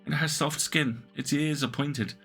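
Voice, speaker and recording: A man reading interactive fiction aloud on a stream, with measured, atmospheric narration. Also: a little high, steady tone, a bit bright, soft background music. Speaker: game narrator.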